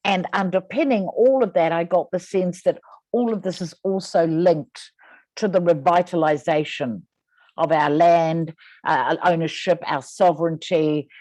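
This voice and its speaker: A woman moderating a webinar panel, summarizing the speakers' points in a measured, articulate way. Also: lowish voice, darkish tone, no echo.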